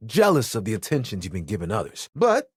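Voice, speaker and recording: Casting: insecure, friendly towel character for a video game; very swooping, theatrical delivery, slightly husky, hesitant and heartfelt. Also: male voice, on the low side, fast talker, no echo, studio quality, full character voice. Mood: flustered, defensive. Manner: casual, earnest, hesitant, theatrically expressive. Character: living towel, easygoing, sensitive, insecure young male buddy.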